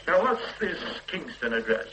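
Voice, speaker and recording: An actor playing a sarcastic, authoritative police superintendent in a radio drama. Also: male voice, a little high, very animated, moderate pace, bright, forceful tone, a little hiss or hum, poor audio. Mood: sardonic. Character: stern sardonic male police superintendent. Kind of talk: 1970s radio drama acting.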